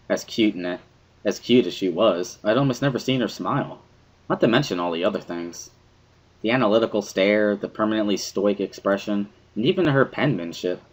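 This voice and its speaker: A man reading first-person visual novel narration in a measured, reflective way, as an amateur dramatic reading. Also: fairly low pitch, husky. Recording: music behind the voice.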